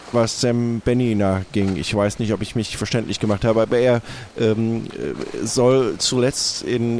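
A man playing a flustered, formal stand-in pirate captain in an improvised roleplay, speaking haltingly and wordily. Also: medium pitch, a little mellow, a little hiss or hum, lightly acted. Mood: hesitant, formal. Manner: halting, hesitant, wordy.

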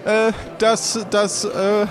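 A man voicing a chatty, brisk tavern waiter in an improvised comic pirate audio play. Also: sits high, completely flat delivery, noisy background, pitched above the performer's own voice. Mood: brisk, businesslike. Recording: music behind the voice.

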